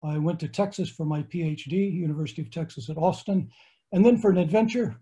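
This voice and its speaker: Older American man lecturing, mellow tone. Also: a little high, low-quality recording. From United States. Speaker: astronomer and tour lecturer. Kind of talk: online slideshow lecture. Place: home study with bookshelves.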